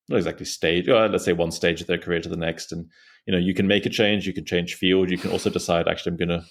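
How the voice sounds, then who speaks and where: Very deep, gravelly young man. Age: young adult. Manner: loose, filler-heavy. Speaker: podcast host. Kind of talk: casual interview.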